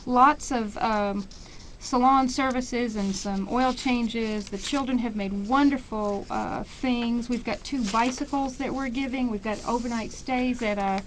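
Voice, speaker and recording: An American woman speaking as a radio interview guest, explaining things informally and a little haltingly as she promotes an event. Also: a little high, a little hiss or hum, low-quality recording. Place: radio station.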